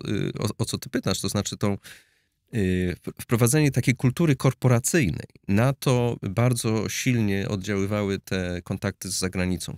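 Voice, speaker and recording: A man's voice: fairly low pitch, dry recording. Hesitant middle-aged man, studio interview. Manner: hesitant, many fillers and restarts, gestures while explaining. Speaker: security analyst guest. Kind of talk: conversational expert interview. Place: studio with blue backdrop. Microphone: studio mic on boom arm.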